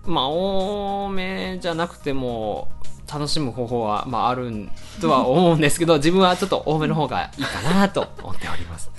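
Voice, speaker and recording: A low-voiced woman chats as a radio co-host, polite and a little flustered. Her delivery rises and falls a lot, with faint music underneath. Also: expressive, moderate pace. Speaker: co-host of an internet radio show. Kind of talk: casual chat.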